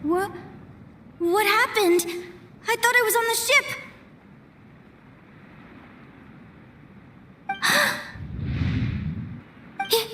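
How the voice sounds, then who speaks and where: Sweet sad young anime game girl, super high voice, talks slow n hesitant. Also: expressive, sharp tone, a little hiss or hum, exaggerated voice. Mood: shaken, disbelieving. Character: sweet, wistful young woman troubled by dreams. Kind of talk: video game story voice acting.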